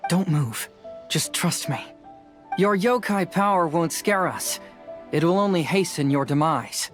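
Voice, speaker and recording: A man's voice: fairly high pitch, loud music behind the voice, natural voice. Man as a composed, analytical young detective. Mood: calm, firm. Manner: measured, analytical, articulate. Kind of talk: video game voice acting.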